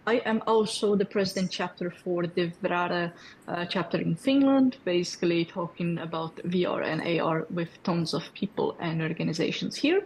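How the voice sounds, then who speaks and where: A woman in her thirties with a Brazilian Portuguese accent walks developers through a technical presentation, pausing for fillers and checking in with her audience. Her voice sits a little low. A faint hiss runs under the video call audio. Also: darkish tone, low-quality recording. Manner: friendly, explanatory, frequent fillers and check-in questions. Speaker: developer community lead presenting at a hackathon.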